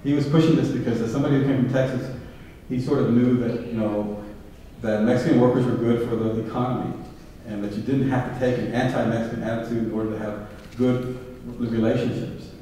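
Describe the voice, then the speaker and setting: Male speaker, mellow voice, long echo, caught from the back of a big hall. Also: medium pitch, steady tone, fairly quick, slight background noise. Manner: thoughtful, many fillers and restarts. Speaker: guest speaker. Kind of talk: public talk with audience questions. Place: large hall with round tables. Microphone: podium mic, filmed from far back. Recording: echoey hall from far off.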